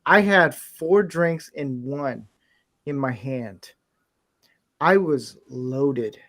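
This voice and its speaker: A man from the American Midwest with an American accent, chatting casually and telling anecdotes in an animated way as a podcast host. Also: mid-range voice, extremely slow. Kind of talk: casual anecdotal group chat.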